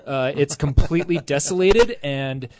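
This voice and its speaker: A man's voice: a little high, normal speed, a little mellow, no echo. A man in a casual scripture study discussion, talking with enthusiasm, rambling, with lots of fillers and restarts.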